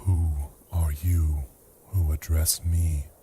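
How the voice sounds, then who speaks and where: A man voicing a mountain-sized ancient giant who speaks in a soft, hushed, solemn voice. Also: a bass voice, level tone, slightly rough, exaggerated voice. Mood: curious. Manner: slow, solemn, ponderous.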